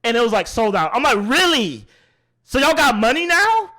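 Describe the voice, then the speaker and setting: A man's voice: extremely high pitch, sing-song, normal speed, bright, forceful tone. A man hosting a casual podcast chat in a playful, teasing, slangy way. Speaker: podcast host.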